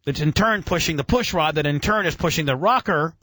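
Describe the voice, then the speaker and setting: A man's voice: a little high, expressive, brisk, sharp tone, dry recording. A man hosting a casual radio show, explaining and quizzing with an enthusiastic, profane, teacherly manner.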